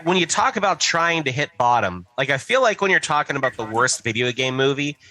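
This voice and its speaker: Bright-voiced man ranting casually on a podcast. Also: medium pitch, big pitch swings. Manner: halting build-ups with repetition and hyperbole. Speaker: podcast co-host. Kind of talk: casual, profane group chat.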